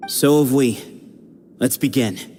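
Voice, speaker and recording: An actor playing a confident, earnest young temple heir in an anime video game, with a declamatory manner. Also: male voice, medium pitch, slow, noisy background, close to an everyday voice. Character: confident young male challenger, proud temple leader's grandson. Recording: loud music behind.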